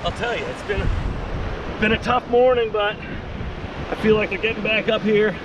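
A man's voice: high voice, moderate pace. A man in his thirties giving casual, folksy fishing vlog commentary outdoors. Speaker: fishing vlogger. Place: outdoors on an open, windy ocean beach. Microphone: camera on the sand, a few steps away or farther. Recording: distant mic, loud surf and wind. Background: ocean waves and wind.

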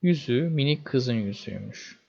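Animated male fairy-tale narrator, slightly muffled. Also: mid-range voice, moderate pace, vocal fry, slightly rough. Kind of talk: scripted storytelling narration.

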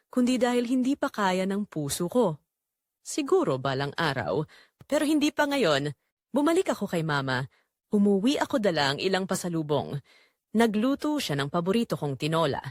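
Woman acting a remorseful, expressive young Filipina narrator. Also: medium pitch, normal speed, no echo, studio quality, close to an everyday voice. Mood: reflective, tender. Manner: earnest, reflective, emotive delivery. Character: remorseful, wounded young woman narrating her own confession. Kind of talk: scripted first-person dramatic narration in Tagalog audio drama.

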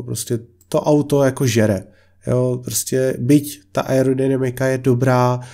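A man's voice: mid-range voice, vocal fry, a little mellow. A young man giving casual, chatty commentary on used car listings, full of filler words and blunt opinions. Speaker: car vlogger.

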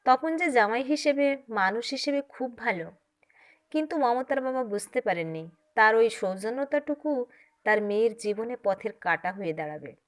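A woman reads a story aloud. Her voice sits fairly high. She narrates with expressive, dramatic feeling, as a storyteller would in an audiobook. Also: normal speed. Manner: dramatic, acts out dialogue.

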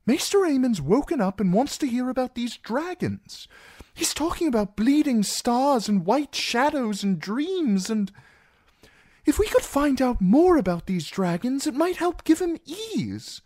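A man's voice: very high-pitched, big pitch swings, measured pace, darkish tone, no echo, voice pushed up, heavily acted. A man voicing an anxious, timid young man in an audiobook, earnest and flustered as he pleads. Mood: pleading. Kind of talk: audiobook character voice.

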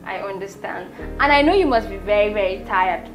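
A woman's voice: mid-range voice, expressive, a little fast, echoey room, faint music behind, lightly acted, rough recording. A woman with a Nigerian accent playing an educated Hausa wife, coaxing and tender toward her husband.

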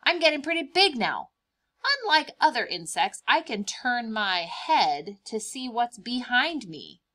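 A woman voicing a cheerful, boastful young praying mantis in a picture-book read-aloud, expressive and emphatic, stretching out words. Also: fairly high pitch, a little slow, exaggerated voice. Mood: cheerful, dramatic.

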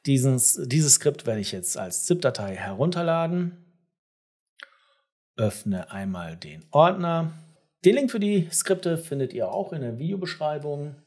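A middle-aged man presenting a step-by-step software tutorial, explaining things directly to the viewer. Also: a little high, big pitch swings, unhurried.

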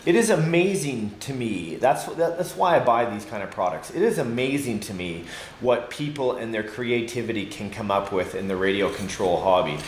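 A man's voice: medium pitch, animated, moderate pace, slight background noise. A man hosting a casual unboxing video, chatty and full of fillers, laughing at his own slips. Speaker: hobby video host. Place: workshop studio with tool cabinets. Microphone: handheld camera mic, host mostly off screen.

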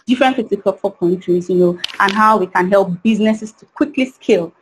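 A woman's voice: mid-range voice, a little fast, a little hiss or hum, poor audio. A woman in her thirties, a panelist on an online video call over a headset, speaking earnestly and often saying "you know".

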